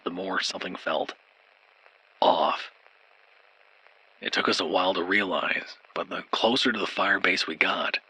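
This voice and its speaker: A man narrating a scripted horror story as a frightened, doomed soldier, in a grim, deliberate, formal manner. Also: sits low, bright, forceful tone, breathy edge, full character voice, rough recording.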